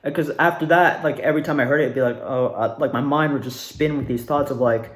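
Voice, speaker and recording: A young man talks casually in an echoey room, his voice at an ordinary male pitch. He rambles through his story in an animated way, doubling back and repeating words for emphasis. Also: quick pace. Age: young adult. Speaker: student actor recounting past performances.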